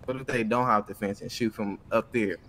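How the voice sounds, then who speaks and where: A man's voice: lowish voice, steady tone, a little fast, a bit bright, rough recording. A man roleplaying in an online crime game, arguing his points repeatedly in heavy street slang. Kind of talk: in-character street gang banter in online game chat.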